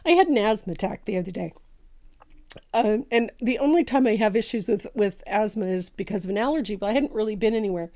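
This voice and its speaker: A woman in her sixties, a little congested and gravelly, hosting a live painting lesson in her home studio in a chatty way. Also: mid-range voice, lively intonation, fast talker. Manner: chatty, warm-hearted, laughs often, many ums. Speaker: painting teacher, live show host. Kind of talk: casual live painting tutorial. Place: home craft studio, indoors. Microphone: studio mic on boom arm.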